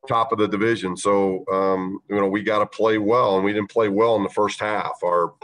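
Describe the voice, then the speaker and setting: A man coaching a basketball team, answering reporters' questions after a game, measured and halting with many fillers. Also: fairly low pitch, fairly even intonation, a bit bright, soft background music, rough recording. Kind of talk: post-game press conference answers.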